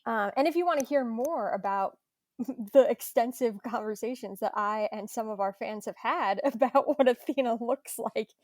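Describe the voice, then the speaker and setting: A woman's voice: on the high side, moderate pace, darkish tone, no echo, clean studio sound. A woman talking casually and playfully in a behind-the-scenes interview as a cast member.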